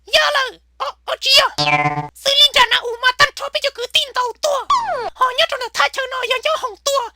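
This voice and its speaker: A woman voicing an excitable, shrill comic cartoon character with a squeaky, creaky voice, prone to bursting into shouts. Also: fairly quick, full character voice. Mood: chattering.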